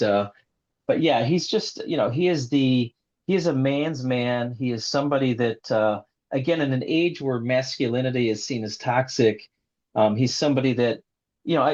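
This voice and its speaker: A man being interviewed as an author, talking in a friendly, rambling way and leaning heavily on fillers. His voice sits at an ordinary pitch for a man. The sound is a bit dull and lo-fi. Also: a little mellow. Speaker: author guest.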